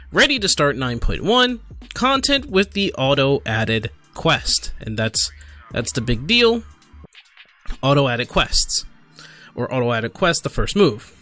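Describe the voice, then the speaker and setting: Guy doing casual gaming commentary, kinda halting and apologetic, bright punchy voice, soft music in back. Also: high voice. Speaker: gaming video creator. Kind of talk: casual commentary, reading a forum post aloud.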